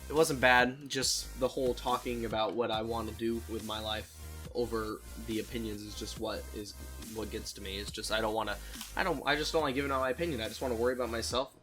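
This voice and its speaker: A man's voice: mid-range voice, steady tone, fast, fairly bright tone. A man in his late teens telling personal stories in a casual, rambling, candid and self-deprecating way, swearing freely like a YouTuber on a solo talk show. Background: background music.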